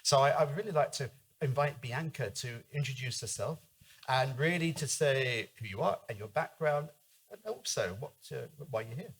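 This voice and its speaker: A man's voice: medium pitch, expressive, moderate pace, breathy edge, low-quality recording. A man moderating a panel, polite and inviting as he introduces speakers.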